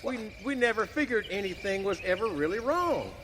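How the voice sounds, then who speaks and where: A man plays a dismissive, folksy frontier cowhand with a for a radio western. The voice is high and forceful, lively in pitch, with hall reverb around it. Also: moderate pace. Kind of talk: live western radio drama acting. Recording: reverberant hall, faint background noise.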